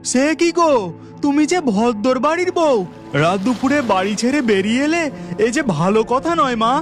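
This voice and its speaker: A man's voice: extremely high pitch, expressive, moderate pace, music in the background. A man voicing a poor, devout village boatman in a cartoon story, earnest and exclamatory, with a Bengali accent. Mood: calling out, concerned. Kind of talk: melodramatic cartoon story acting.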